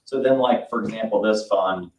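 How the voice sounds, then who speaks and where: A man's voice: fairly low pitch, normal speed. A man speaking as a board member at a public meeting, asking questions in a tentative, informal, conversational way. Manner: tentative, conversational, often says "like" and "you know". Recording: echoey meeting room, distant mic.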